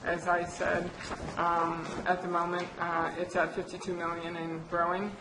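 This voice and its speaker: A woman's voice: fairly low pitch, monotone. Woman presenting in an echoey hall. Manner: halting, many fillers and restarts. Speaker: county budget presenter. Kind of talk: public meeting presentation. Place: county board meeting room. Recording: echoey chamber with air conditioner noise.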